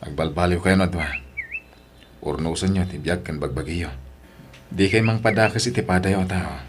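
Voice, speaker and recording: Eldest son for radio drama, male actor: deep, firm, earnest, with clear background noise. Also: normal speed, lightly acted. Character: firm, dutiful eldest son scolding younger siblings. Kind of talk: Ilocano audio drama acting.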